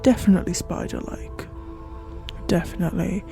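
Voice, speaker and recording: An unhurried, gravelly narrator delivers scripted horror fiction straight to the listener. The delivery is musing and a little formal, with a theatrical touch. The tone is dark and mellow, set over background music. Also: medium pitch, fairly even intonation. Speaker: narrator and host of an audio horror fiction show. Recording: music behind the voice.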